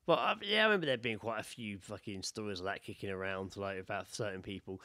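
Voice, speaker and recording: A man's voice: on the low side, fast, sharp tone, no echo, studio quality. A British man co-hosting a podcast, bantering casually and reading out stories in a blunt, sweary, laddish way. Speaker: podcast co-host.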